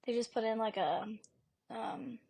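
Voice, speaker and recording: A young woman co-host in an easygoing chat, her pitch a little above average. She rambles, packs in fillers, and gets animated as she explains things. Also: unhurried, vocal fry, slightly rough. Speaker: podcast co-host. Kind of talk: casual chat.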